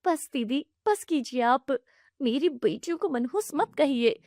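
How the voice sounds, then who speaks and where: Cartoon role: a woman doing a wronged young mother, soft and pleading, high voice. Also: expressive, a little slow, no echo, clean studio sound. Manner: pleading, earnest.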